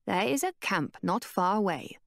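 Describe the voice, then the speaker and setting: A woman voicing a calm, cryptic old woman who speaks in a low, hushed, measured way. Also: creaky, no room sound, studio quality.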